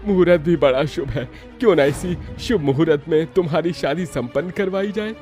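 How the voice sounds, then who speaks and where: A man's voice: a little high, moderate pace, a little mellow, soft background music, pitched above the performer's own voice, natural voice. A man voicing a wise, kindly father and holy man in a cartoon story, solemn and reassuring. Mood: benevolent.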